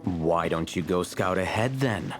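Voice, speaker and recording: An actor playing an unhurried desert scout in a video game, with a dry, teasing manner. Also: male voice, low voice, lively intonation, over music. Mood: nonchalant. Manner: laid-back, dry.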